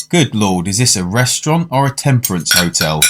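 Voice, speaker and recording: An actor playing a polite male dinner guest in a radio drama, narrating his macabre tale in a measured, genteel way. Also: fairly low pitch, big pitch swings, noisy background. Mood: incredulous. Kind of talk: radio drama, first-person narration and dialogue.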